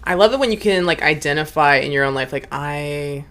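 A woman co-hosting a podcast in casual chat, animated and swearing freely, with a lot of "like". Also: deep voice, a little fast. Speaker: podcast co-host.

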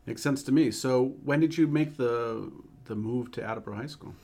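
A man's voice: medium pitch, normal speed, a little mellow. A man, a school superintendent, hosting a podcast and asking questions in a friendly, slightly hesitant way.

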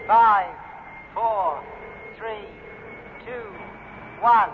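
An actor playing a formal, impassive launch controller over a radio loudspeaker in a 1950s radio drama, speaking in measured tones. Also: male voice, high voice. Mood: measured countdown. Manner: measured, official, impersonal. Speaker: actor in a radio science fiction serial. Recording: muffled, noisy, echoing 1950s radio recording.

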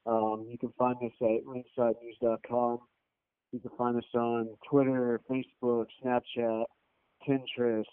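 A man who writes for a wrestling news site, speaking as a guest in a flat, matter-of-fact way. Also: mid-range voice, clear, resonant tone, no room sound. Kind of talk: casual podcast chat. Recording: tinny phone line, dry.

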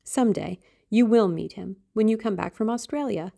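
A woman's voice: mid-range voice, moderate pace, vocal fry, warm tone, pitched above the performer's own voice. A woman voicing a dreamy, impulsive teenage girl in love, theatrical and exclamatory, in an audiobook. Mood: rapturous. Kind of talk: audiobook character acting.

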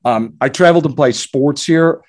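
A man's voice: fairly high pitch, normal speed, a little mellow. A man in his forties, originally from South Africa, guest on a podcast interview, telling his story with plenty of fillers and restarts over a remote call. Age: 40s. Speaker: guest, investor and entrepreneur.

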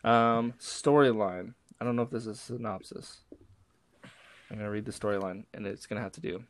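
A man's voice: lowish voice, moderate pace, no echo. A man co-hosting a casual movie review podcast, swearing freely and trailing off mid-thought. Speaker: podcast co-host.

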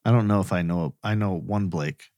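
Male podcast co-host. Somewhat low register, informal chatty delivery, dry close recording. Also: moderate pace, a little mellow, no echo. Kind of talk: casual true crime podcast chat.

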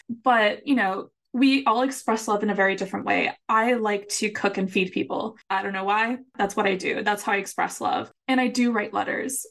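A woman's voice: mid-range voice, vocal fry. A woman from Puerto Rico whose first language is Spanish, chatting casually and emphatically as a podcast co-host. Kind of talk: casual chat discussion.

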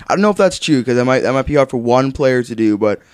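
A man's voice: mid-range voice, expressive, quick pace, clean tone, dry recording. A young man co-hosting a casual sports podcast, talking loosely and swearing now and then. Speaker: podcast co-host.

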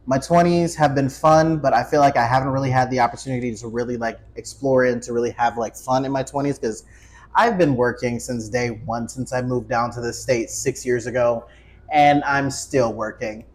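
A man's voice: medium pitch, moderate pace, a little hiss or hum. A man in his twenties chatting casually as a podcast host, joking and swearing freely. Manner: profane, joking, animated self-interruptions. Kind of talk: casual chat.

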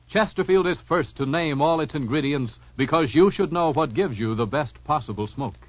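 A man reading a scripted 1950s radio advertisement with formal, emphatic sales delivery. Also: medium pitch. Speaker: commercial announcer. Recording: dull old radio recording.

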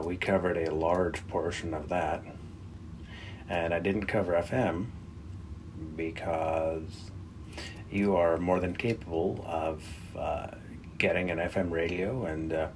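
A man hosting a hobby podcast, giving an unscripted radio walkthrough in a halting way with pauses mid-sentence. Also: deep voice. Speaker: podcast host. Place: bedroom at home. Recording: noisy bedroom recording with radio hiss. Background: loud radio noise.

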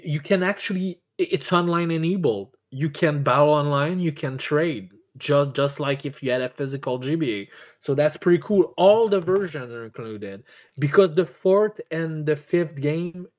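A man's voice: fairly high pitch, a little mellow. A man hosting a casual video game podcast, stammering and repeating words often, swearing freely. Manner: casually foul-mouthed. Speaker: podcast host. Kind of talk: casual chat about video games.

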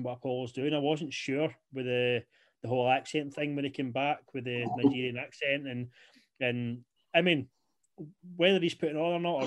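A young man co-hosting a wrestling podcast, rambling casually and leaning on "you know" fillers. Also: medium pitch. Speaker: podcast co-host. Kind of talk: casual chat.

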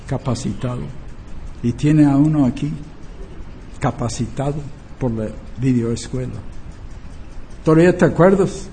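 A man's voice: fairly high pitch, dark tone, a little hiss or hum, low-quality recording. An older man in Spanish, preaching and teaching emphatically, repeating key phrases and asking rhetorical questions. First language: not a native Spanish speaker.

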